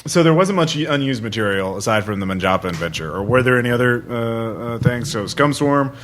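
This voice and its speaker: A man's voice: mid-range voice, expressive, brisk, a bit bright, slight background noise. A man hosting a podcast, casually chatting and putting questions to his guest. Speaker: podcast host and game player.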